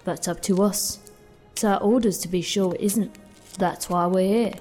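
A woman's voice: mid-range voice, steady tone, moderate pace, warm tone, faint music behind, natural voice. A woman playing a no-nonsense police officer on guard duty in an audio drama. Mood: brisk, official. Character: brisk, no-nonsense policewoman guarding a crime scene.

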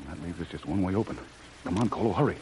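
A man's voice: deep voice, fast. A man acting a brave, loyal jungle adventurer with a firm, decisive manner, in old radio serial style. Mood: urgent, alert. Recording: noisy vintage radio recording.

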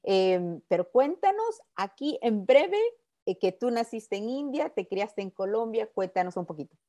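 A woman's voice: medium pitch, sing-song, unhurried, warm tone. A woman hosting a radio and podcast interview, upbeat and chatty, slipping English words into her Spanish. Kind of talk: conversational interview.

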